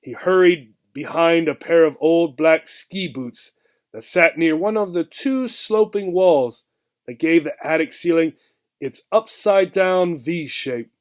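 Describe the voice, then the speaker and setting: A man reading a children's book aloud with expressive narration. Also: a little high, unhurried.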